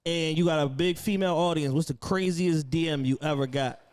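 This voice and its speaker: Quick-talking podcast host man. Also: fairly high pitch, darkish tone. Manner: brisk, steers the show. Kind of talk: casual podcast chat.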